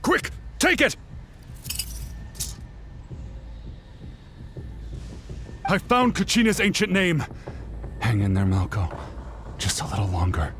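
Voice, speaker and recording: A man's voice: fairly high pitch, huge pitch swings, moderate pace, a bit bright, a little hiss or hum. A man voicing a grief-driven, determined warrior in a video game, speaking with earnest, solemn intensity. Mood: urgent, straining. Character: grief-driven, determined male warrior searching for a lost comrade.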